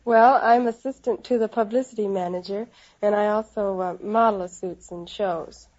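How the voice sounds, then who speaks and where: A young woman, about twenty, replies briefly and politely as a radio quiz contestant. Her voice is soft-edged and mellow at a medium pitch, on a muffled vintage recording. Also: steady tone, moderate pace. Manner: brief, polite, matter-of-fact answers.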